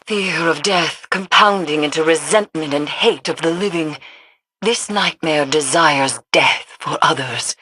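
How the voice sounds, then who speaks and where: An actress playing a solemn, brooding woman mystic in a video game, speaking gravely and formally with deliberate weight. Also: sits low, bright, forceful tone, heavily acted. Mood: sorrowful, ominous. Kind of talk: scripted video game character dialogue.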